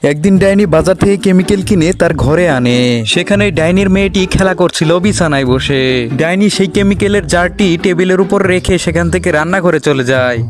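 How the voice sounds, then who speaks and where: High-pitched man narrating children's stories. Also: normal speed. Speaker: cartoon story narrator. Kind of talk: scripted children's story narration.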